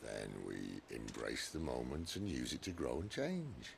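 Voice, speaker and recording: A British man playing a roguish, cheerful older adventurer and mentor in a video game, with a gravelly voice and a wry, jocular, philosophical way of talking. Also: rumbling low, huge pitch swings, unhurried, slight background noise, exaggerated voice. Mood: resolute. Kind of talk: video game cutscene acting. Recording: orchestral game music behind voice.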